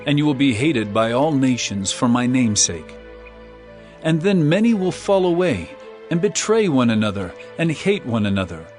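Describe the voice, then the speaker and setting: An actor playing a solemn, authoritative holy teacher prophesying in an earnest, declamatory way in a dramatized audio Bible. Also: male voice, mid-range voice.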